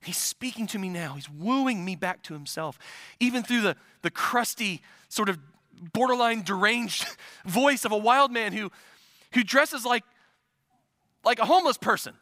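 Man, preacher, from the Midwest, high bright voice, dry close sound, urgent repeated calls. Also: moderate pace, no room sound. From American Midwest. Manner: impassioned preaching with rising urgency and emphatic repetition. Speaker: pastor. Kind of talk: sermon.

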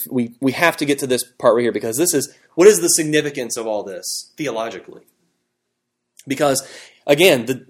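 A man teaching an evening Bible class to a live group, talking it through in a conversational way, often checking in with "okay" and "right.". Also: mid-range voice. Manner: conversational, thinking aloud with fillers and checking okay.

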